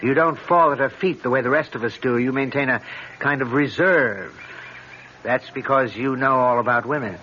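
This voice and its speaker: A muffled, hissy old radio recording of a man acting as an urbane, lovelorn rival. His middle-pitched voice is measured, ironic and insinuating. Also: bright, forceful tone, natural voice. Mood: wry needling. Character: wry, urbane male friend and lovelorn rival.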